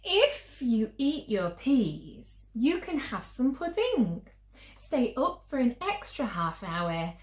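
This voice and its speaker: A woman's voice: on the high side, very animated, slow pace, roomy sound, pitched above the performer's own voice. A woman voicing a storybook mum who pleads and coaxes with growing desperation, gushing out her promises. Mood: coaxing. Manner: coaxing, gushing through escalating lists.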